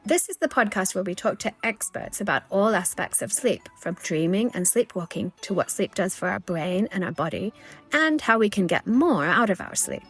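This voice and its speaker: A woman neuroscientist hosting a sleep science podcast, presenting clearly from a script. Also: medium pitch, lively intonation, normal speed, faint music behind.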